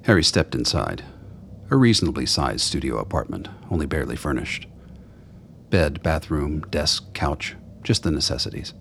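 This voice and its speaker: A man's voice: extremely low pitch, very animated, moderate pace, gravelly, slight background noise. A man narrating a radio play, reading scripted third-person story prose.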